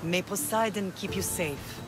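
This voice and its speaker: A woman playing a solemn, measured middle-aged Spartan mother in a video game, warm toward her son. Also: on the low side, lightly acted. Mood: tender. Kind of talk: dramatic video game acting. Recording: loud music behind.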